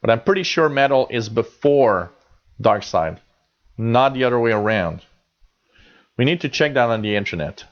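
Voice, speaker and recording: A man's voice: medium pitch, expressive, moderate pace, pauses often, dull audio. A Canadian man in his forties hosting a live radio show, chatting casually and reading out chat messages with lots of fillers and restarts.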